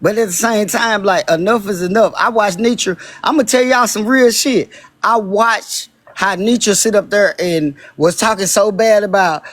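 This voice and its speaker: A man with a naturally high-pitched voice talking as a guest on a live stream, emphatic and blunt, repeating his points and swearing freely. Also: measured pace, a bit bright, no room sound. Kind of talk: heated casual chat.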